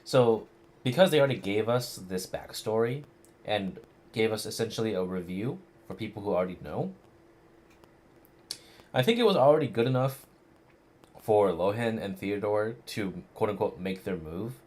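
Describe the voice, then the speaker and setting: A man's voice: lowish voice, animated, normal speed, pauses often, a little mellow. A man giving casual live playthrough commentary, rambling and hesitant, with plenty of slang. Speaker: game streamer.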